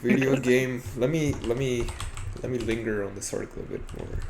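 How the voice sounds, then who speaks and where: Creaky-voiced man in casual podcast chat. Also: mid-range voice, a little fast, noisy background. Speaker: podcast co-host. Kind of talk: casual chat about films and games.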